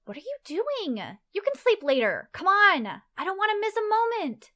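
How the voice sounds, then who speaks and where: Excitable, bossy young adventurer for a fantasy audio play female performer, high and insistent. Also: normal speed, exaggerated voice. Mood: excited, impatient. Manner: bubbly, insistent. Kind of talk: fantasy audio drama dialogue.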